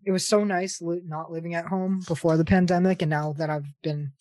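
A man's voice: on the high side, no room sound. Rambling man in his twenties. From Ontario, Canada. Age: 20s. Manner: rambling, animated, quick to laugh. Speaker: podcast co-host. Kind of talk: casual sports chat.

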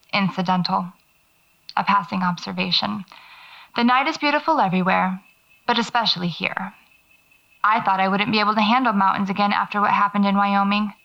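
An actress playing a pensive, wry horror writer, musing reflectively into a taped diary in an audio drama. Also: female voice, mid-range voice, creaky, bright, forceful tone. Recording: slightly dull cassette diary sound.

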